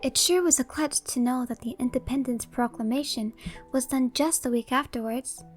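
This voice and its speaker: A woman's voice: high-pitched, normal speed, faint music behind, lightly acted. A woman playing an ageless, worldly shaman with a teasing, theatrical manner in a horror-comedy audio drama. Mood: breezy, assertive.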